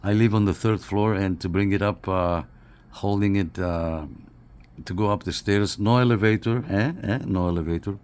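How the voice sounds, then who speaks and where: A man with a fairly low voice talks casually through a hands-on review. He is unscripted and halting, often doubling back to repeat a phrase. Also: darkish tone, clear, resonant tone. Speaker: radio reviewer and CB operator.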